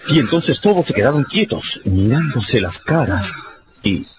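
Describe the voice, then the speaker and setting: A man's voice: mid-range voice, very animated, measured pace, lo-fi sound. A man giving dramatic scripted narration of a radio story.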